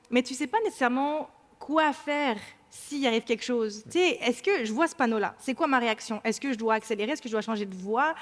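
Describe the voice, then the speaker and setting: A woman from France with a French accent, explaining cybersecurity training as a panelist at a conference, with many fillers and restarts. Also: a little high. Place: large conference hall. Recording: hall reverb.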